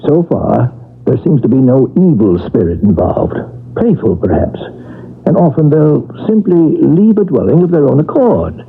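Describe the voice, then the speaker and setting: An actor playing a calm, reassuring male paranormal investigator, measured and professional, in a 1970s radio drama. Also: mid-range voice, creaky, breathy edge, full character voice. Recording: tinny, narrow-band old radio recording.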